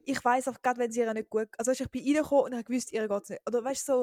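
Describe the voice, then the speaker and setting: A young woman with an Eastern Swiss German accent talks in a loose, giggly chat, her voice sitting a little high. She strings her thoughts together with lots of fillers. The sound is close and dry, with no room around it. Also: steady tone, rapid-fire, a little mellow, no room sound. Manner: chatty, giggly, full of fillers. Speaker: podcast co-host. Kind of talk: casual chat.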